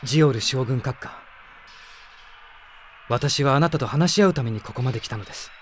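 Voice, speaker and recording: An actor playing an idealistic young prince with a formal, sincere, intense manner in a radio drama. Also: male voice, mid-range voice, normal speed, slight background noise, lightly acted. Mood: earnest pleading. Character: earnest, idealistic young prince leading an army. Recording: music behind the voice.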